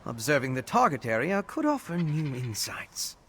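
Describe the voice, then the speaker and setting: An actor playing an earnest, inquisitive scholar in a fantasy video game, speaking in a measured, articulate, analytical way. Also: male voice, fairly high pitch, sing-song, fairly bright tone, a little hiss or hum. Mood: concerned, pondering. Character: earnest, inquisitive male scholar investigating dark rituals.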